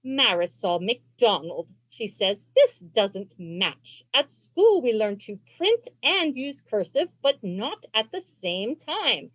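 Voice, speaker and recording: Animated woman reading to children. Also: on the high side, dry recording. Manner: expressive, deliberate phrasing for children. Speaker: librarian and read-aloud host. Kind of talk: children's storybook read-aloud. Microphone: video call.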